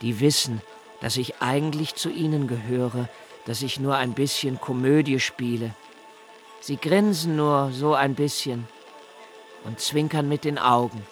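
An actor playing the insistent, ironic inner voice of a troubled young writer, emphatic and rhetorical, in a radio play. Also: male voice, medium pitch, measured pace, natural voice. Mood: wary, knowing. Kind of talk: literary radio play monologue. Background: music.

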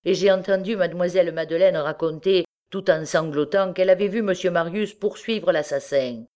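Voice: mid-range voice, moderate pace, a little mellow, lightly acted. A narrator voicing a matter-of-fact bystander who explains insistently in a storybook reading. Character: reasoning bystander calming a quarrel, matter-of-fact witness.

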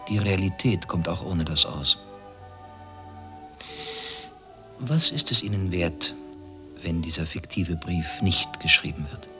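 Menacing East German interrogator, very low voice, sardonic, deliberate, radio drama, music behind. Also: male voice. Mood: mocking, coercive. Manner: sardonic, deliberate, falsely modest. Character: cynical, menacing East German male secret police officer. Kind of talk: German radio play acting.

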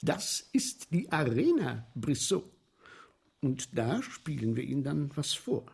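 A man's voice: fairly high pitch, slow pace, vocal fry, breathy edge, exaggerated voice. An actor in a German radio play playing an eager, ingratiating showman who guides noble spectators through macabre entertainments with theatrical flourish. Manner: ingratiating, animated, quotes aristocrats grandly.

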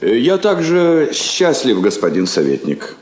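Animated, formal Soviet embassy counsellor. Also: male voice, mid-range voice, unhurried. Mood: courteous. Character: polite, slightly awkward male Soviet embassy counsellor. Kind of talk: Soviet radio drama acting. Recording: roomy, echoing studio sound.

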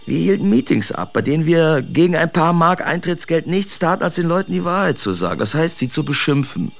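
An actor playing a brash, mocking male Dadaist artist, reciting theatrically in an expressive radio drama. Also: on the high side, fairly quick, creaky. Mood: defiant, scornful.

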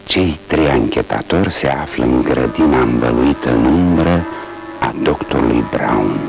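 A man with a very deep, gravelly voice narrates a radio drama gravely and slowly. Loud music plays behind him.

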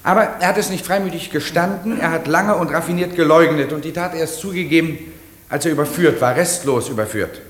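Actor as a high-pitched, indignant prosecutor. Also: male voice, brisk, natural voice. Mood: indignant, grave. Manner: declamatory, emphatic, rhetorical. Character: stern, indignant male courtroom prosecutor. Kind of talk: German radio play acting, courtroom speech. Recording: echoey room, old radio drama.

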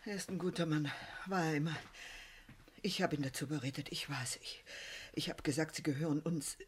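An actress playing an anxious, devoted older wife, earnest and imploring, in a radio crime drama. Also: female voice, sits low, brisk, a little hiss or hum, lightly acted. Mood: remorseful. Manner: imploring, earnest, often hushed.

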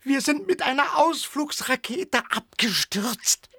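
A man's voice: very high voice, expressive, slow pace, gravelly, pushed and bright, dry recording, studio quality, exaggerated voice. An actor playing an anxious, stranded husband and father in a science-fiction radio drama, fretful and urgent. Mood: urgent, alarmed.

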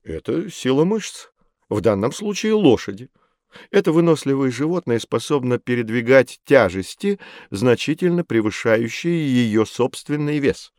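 An actor playing a knowledgeable, patient mentor who explains things fondly to children in an educational audio drama. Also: male voice, mid-range voice, animated, a little slow, a little mellow, no room sound, clean studio sound. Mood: instructive. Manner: didactic, addressing children fondly.

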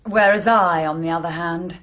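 An actress playing a defensive, indignant niece under suspicion, speaking in clipped phrases in a radio drama. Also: female voice, fairly low pitch, moderate pace, natural voice. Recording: dull, lo-fi radio drama recording.

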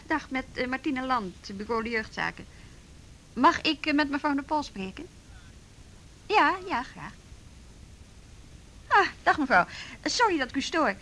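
Busy wry policewoman in a radio play, bright highish voice, bit of hiss behind. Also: full character voice. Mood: polite, businesslike. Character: busy, wry female youth police officer. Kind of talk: naturalistic Dutch radio drama dialogue.

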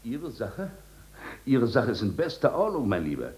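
Suave lawyer, sweeping intonation. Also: male voice, mid-range voice, huge pitch swings, moderate pace, darkish tone. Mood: soothing, condescending. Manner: suave, patronising, formal. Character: smooth, evasive, condescending male lawyer soothing his client. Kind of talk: 1950s German radio drama acting.

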